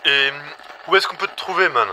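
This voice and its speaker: A man's voice: fairly high pitch, animated, fairly quick, bright, forceful tone. A man playing a brash, wary survivor talking over a radio, blunt and slangy, in an audio drama. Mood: reluctant. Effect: radio filter. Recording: harsh noisy radio link.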